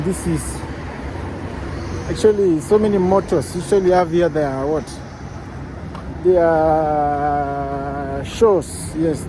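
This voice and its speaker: Kenyan guy vlogging outside, kinda high voice, rambly, traffic noise all around. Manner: chatty, rambling, self-correcting. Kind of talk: casual walking vlog. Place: outdoors on a city street.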